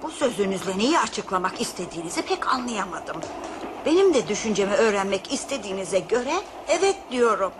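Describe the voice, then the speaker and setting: A woman's voice: medium pitch, big pitch swings, fairly quick, natural voice. An actress playing a dignified, outspoken old woman in a radio play, formal and indignant. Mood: firm, indignant. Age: older. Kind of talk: Turkish radio theatre acting. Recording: noisy radio drama recording.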